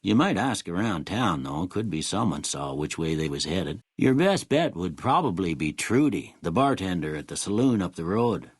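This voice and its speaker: An actor playing a kindly, world-weary old small-town doctor in a video game, with a husky voice and a folksy, rustic American drawl. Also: male voice, low-pitched, sing-song, normal speed, hardly any pauses, no room sound, clean studio sound, exaggerated voice. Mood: calm, matter-of-fact. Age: older. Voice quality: husky, gravelly. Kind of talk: video game character dialogue.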